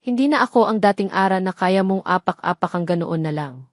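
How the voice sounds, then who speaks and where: A woman's voice: medium pitch, lively intonation, fairly quick, no room sound, studio quality, lightly acted. A woman voicing a wronged woman who is wary yet stands firm in an audio drama. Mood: quietly firm. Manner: firm, pleading.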